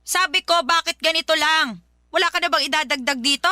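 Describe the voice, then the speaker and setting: A woman playing a harsh, belittling, nagging Filipino mother in a radio drama, with a sharp-tongued, scolding manner. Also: high voice, a little fast, no echo, studio quality. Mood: irritated, demanding.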